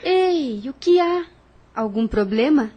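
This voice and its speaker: A woman playing a young adult daughter in an audio drama, speaking warmly and eagerly. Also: sits high, expressive, slow pace, muffled recording. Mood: tender. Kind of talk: radio drama acting.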